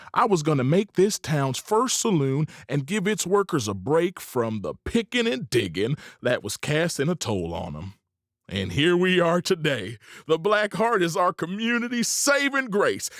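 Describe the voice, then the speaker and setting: Male actor as proud saloon owner, western audio drama, slow speechifying, lively swooping pitch, dry close sound. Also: dry recording, exaggerated voice. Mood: proud, jovial. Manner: showy, drawn-out speechifying. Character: folksy, self-satisfied saloon owner in the Old West. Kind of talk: theatrical stage welcome speech.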